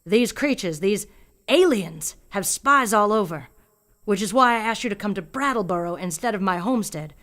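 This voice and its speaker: An actress as an older country woman in a radio play, with a mid-pitched voice. She is fearful yet stubborn, telling what she knows in a grave, deliberate way. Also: animated, fairly bright tone, natural voice. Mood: grave, haunted. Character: fearful, stubborn old rural woman guarding alien secrets.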